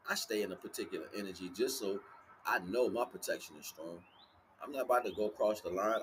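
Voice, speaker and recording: An American man giving an informal spiritual monologue as a livestream host, speaking emphatically and repetitively in street slang. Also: fairly low pitch. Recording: clearly noisy, ambient background noise.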